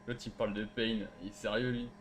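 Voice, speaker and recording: Brisk male gamer, mocking and argumentative in casual chat, faint engine noise behind. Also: fairly low pitch, little pitch movement. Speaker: gamer trolling in online roleplay.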